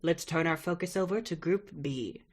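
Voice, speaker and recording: A woman playing a formal storyteller, narrating a horror audio drama in a measured way. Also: on the low side, a little mellow, close to an everyday voice. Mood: composed.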